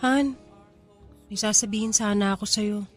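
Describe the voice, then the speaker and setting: A woman's voice: mid-range voice, fairly even intonation, moderate pace, faint music behind, close to an everyday voice. A woman playing a troubled, defiant, pregnant young woman with an emotional, pleading manner in a Tagalog radio drama, with a Filipino accent. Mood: anxious. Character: troubled pregnant nineteen-year-old woman, defiant and wounded.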